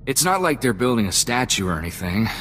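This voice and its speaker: A man's voice: mid-range voice, very animated, quick pace, a bit bright, slight background noise. A man playing an earnest, loyal young sidekick in a space-adventure game, talking in a plainspoken, folksy, reflective way. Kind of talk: video game cutscene dialogue.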